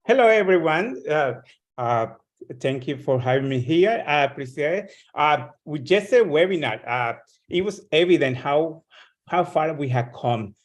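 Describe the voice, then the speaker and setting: Halting, earnest man giving remarks. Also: fairly high pitch, animated. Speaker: guest speaker giving a testimonial. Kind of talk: prepared remarks to an online meeting.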